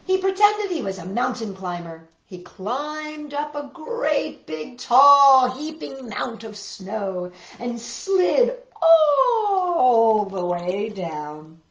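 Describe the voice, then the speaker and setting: A middle-aged woman hosts a storytime for young children in an expressive, deliberate voice. She takes her time, speaking quite slowly and leaning on words for emphasis. The sound is roomy and slightly dull, like a camera microphone in a living room. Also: sits high. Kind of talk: picture book reading and chat to young children.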